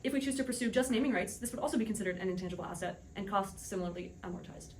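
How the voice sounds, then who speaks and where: A woman's voice: medium pitch, very fast, slight background noise. A woman making a scripted, formal argument as a businesslike debate panelist. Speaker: debate panelist playing an executive.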